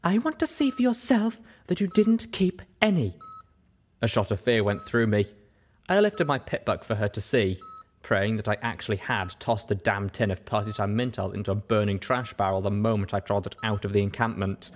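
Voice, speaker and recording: A man's voice: fairly low pitch, brisk, slight background noise. A man reading first-person fiction aloud as a scripted audiobook narration. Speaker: audiobook reader.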